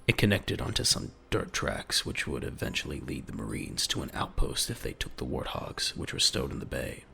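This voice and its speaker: A man narrating a scripted audio drama in a measured, dramatic, gravelly voice. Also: a bass voice, big pitch swings, pushed and bright.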